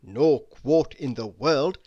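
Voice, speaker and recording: A person reading mock-inspirational quotes aloud in a deliberate, dramatic manner, pausing between phrases. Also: mid-range voice, darkish tone, slightly rough, dull audio. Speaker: reader of quotes.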